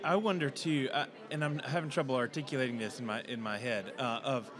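A man hosting a discussion, searching for words with many pauses and fillers. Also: medium pitch, crowd noise. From United States. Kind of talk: casual discussion.

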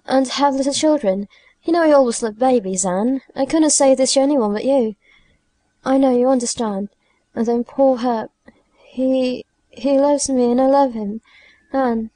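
Audiobook role: dying young woman, played by a female reader, high soft voice, plaintive, halting, fearful. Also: no echo, close to an everyday voice. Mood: tearful longing. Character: frightened, dying young woman pleading to live. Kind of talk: audiobook character dialogue.

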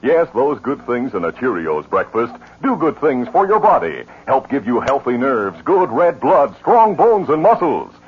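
A man announcing and narrating a 1950s radio western, booming and emphatic in scripted delivery, on a dull old broadcast recording. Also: big pitch swings, unhurried, few pauses, a little hiss or hum.

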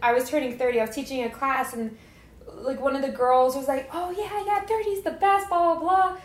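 Higher-voiced young woman hosting, roomy sound. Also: brisk. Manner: effusive, informal slangy speech. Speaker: podcast host. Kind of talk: casual interview chat.

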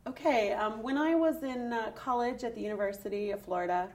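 A woman's voice: on the high side, fairly quick, a little mellow. A middle-aged woman, a guest telling her personal story with candid, searching speech and frequent fillers. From Ireland. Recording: voice somewhat distant from the mic.

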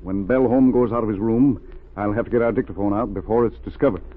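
An actor playing a calm, commanding male secret agent in a 1930s radio drama. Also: fairly low pitch, quick pace, husky. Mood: firm. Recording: tinny old radio recording.

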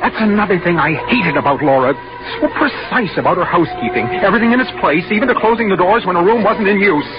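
A brooding, guilty-minded husband in a 1940s radio thriller. He confides his murder plan with nervous tension, halting at times. The vintage recording is dull, with loud dramatic music behind it. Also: high-pitched, expressive. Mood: bitter, nervous. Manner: tense, brooding, confiding, halting when questioned. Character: nervous, secretive husband calmly plotting to poison his wife. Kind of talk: 1940s radio drama acting, first-person narration.

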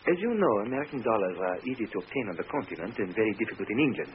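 A man's voice: fairly low pitch, big pitch swings, racing, breathy edge, heavily acted. Suave, theatrical Frenchman, swooping delivery. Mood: confiding, businesslike. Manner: ornate, mock-courteous phrasing. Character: suave, theatrical French husband turned genial con-man. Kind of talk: 1950s radio drama acting. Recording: muffled, noisy old radio recording.

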